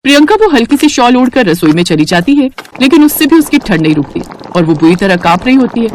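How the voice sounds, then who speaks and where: Animated woman narrating a story. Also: on the high side, fast talker, a little hiss or hum. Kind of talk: scripted moral-story narration.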